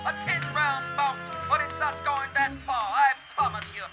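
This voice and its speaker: An excited ringside fight announcer in a radio play. He calls the bout in a high voice, with dull broadcast sound under loud music. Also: moderate pace. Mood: excited, urgent. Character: excited male ringside boxing commentator on television. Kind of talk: sports commentary acting in radio drama.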